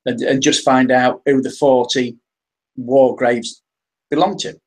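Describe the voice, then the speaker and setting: An English man with a husky, breathy voice talks in a relaxed live-stream chat. He hesitates a lot, stacking up restarts and repeated words. His pitch sits in the ordinary middle. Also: a little slow. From England. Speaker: interview guest.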